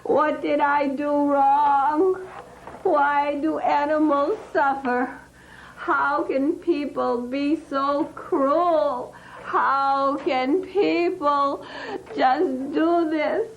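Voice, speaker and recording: Woman playing a caged young jungle animal, crying, high voice, slow pleading, repeats itself, muffled recording. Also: steady tone, a little hiss or hum, full character voice. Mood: anguished. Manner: tearful, pleading, repeating herself.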